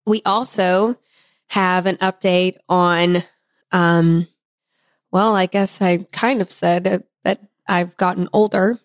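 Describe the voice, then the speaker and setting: Woman, thirties, slightly hoarse, chatty podcast co-host, dry close mic. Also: on the low side, steady tone, slow pace, no echo. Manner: chatty, talkative, laughs easily. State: slightly hoarse, recovering from laryngitis. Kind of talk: casual chat.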